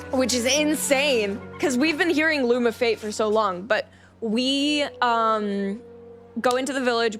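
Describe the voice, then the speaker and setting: A woman game streamer talks casually with her viewers over clearly audible background music. Her voice sits at a middle pitch. She rambles through a story recap, playful and easily sidetracked.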